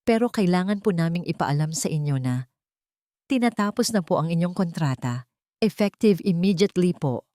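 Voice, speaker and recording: A woman's voice: on the low side, lively intonation, moderate pace, warm tone, no echo, studio quality, natural voice. A woman voicing a timid, apologetic office HR employee in a story. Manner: hesitant, polite. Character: apologetic, timid HR officer delivering bad news.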